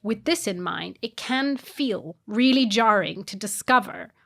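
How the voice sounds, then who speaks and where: A woman raised in South Africa and Brazil co-hosting a podcast, guiding the conversation in an articulate, expressive way. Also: mid-range voice, measured pace, creaky, slight background noise. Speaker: podcast co-host.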